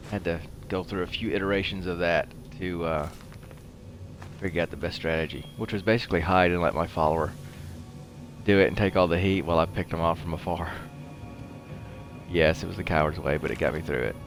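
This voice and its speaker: A man giving casual commentary as he plays a video game, rambling and halting as he goes. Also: deep voice. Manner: rambling, halting, talks while playing. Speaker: host of a gaming show.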